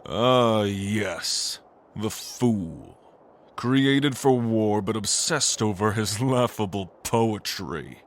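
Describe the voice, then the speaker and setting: A man's voice: lowish voice, lively intonation, extremely slow, exaggerated voice. A man playing an ancient, arrogant angel lord, a gloating villain who speaks with grandiose contempt in a fantasy audio drama. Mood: cold, self-justifying.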